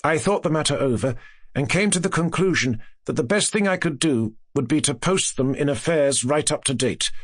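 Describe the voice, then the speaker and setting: A man's voice: medium pitch, no echo. Man narrating a classic novel, dry studio sound. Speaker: audiobook narrator. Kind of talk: scripted narration of classic gothic fiction.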